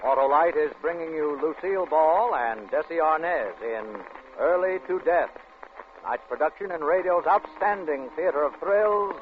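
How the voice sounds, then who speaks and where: A man's voice: a little high, moderate pace. Tinny vintage American male radio announcer. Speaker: program announcer. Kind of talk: 1940s radio show introduction, dramatic. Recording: tinny old radio, faint music behind.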